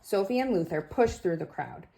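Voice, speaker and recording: A woman reading a children's picture book aloud in an expressive, deliberate storytelling manner. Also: medium pitch, creaky. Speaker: story reader and host. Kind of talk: scripted read-aloud narration for children.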